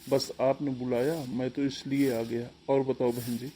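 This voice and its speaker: A man's voice: medium pitch, flat delivery, normal speed, mellow, a little hiss or hum, close to an everyday voice. A man voicing a poor, humble father of the bride in a cartoon, polite and pleading. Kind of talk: Hindi cartoon voice acting.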